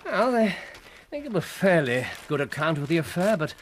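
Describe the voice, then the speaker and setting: An actor playing a brilliant, self-assured Victorian detective in a British radio drama, with an English accent and a theatrical, erudite, precise delivery. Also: male voice, on the high side, sing-song, normal speed, slight background noise. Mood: brisk, assured.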